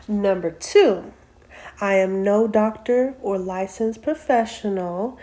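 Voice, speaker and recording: A woman in her late twenties talking casually to her viewers in a personal vlog, soft-spoken, with frequent ums and self-corrections. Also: mid-range voice, slow pace. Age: 20s. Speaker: vlog host. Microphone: camera mic, sitting and reading from notes.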